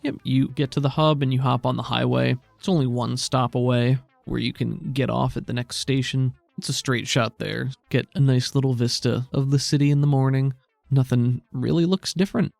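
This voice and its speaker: A man improvising scene descriptions as a game master, measured and pausing between phrases. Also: medium pitch, steady tone, creaky, darkish tone, no room sound, soft background music. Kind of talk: improvised tabletop game narration.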